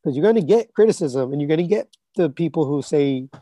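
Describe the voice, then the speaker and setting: A man in his thirties or forties with a scratchy voice that sits a little high. He talks in a loose, rambling way, full of fillers and restarts, like a casual podcast guest. The recording is dry and close. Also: expressive, brisk, warm tone, dry recording. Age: 30s to 40s. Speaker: guest, collectibles reviewer.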